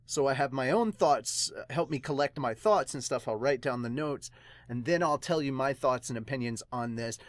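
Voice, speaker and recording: An excitable podcast host chatting casually, in the manner of a vlog, with lots of exclamations. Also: medium pitch, moderate pace, dry recording. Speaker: host of a reaction and dubbing podcast.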